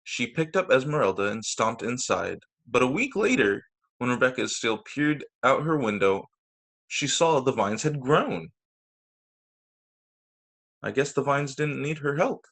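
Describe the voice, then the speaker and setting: Man, story-time teacher, medium pitch, expressive read-aloud, emphatic key words, video call. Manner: deliberate emphasis on key words, with short asides to listeners.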